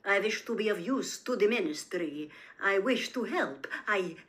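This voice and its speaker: A woman's voice: mid-range voice, lively intonation, measured pace, fairly bright tone. A woman voicing a frightened, unctuous male prisoner who stammers and pleads, eager to please, in a storybook reading. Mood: scared, obsequious.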